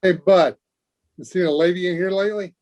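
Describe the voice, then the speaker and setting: A brusque stranger played by a man in an old-time radio crime play. His voice sits fairly high, and he barks clipped demands like someone with no time to spare. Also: fairly even intonation, fairly quick, a little mellow, lightly acted. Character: curt, pushy male stranger in a hurry at a bar. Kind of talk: 1940s radio drama acting.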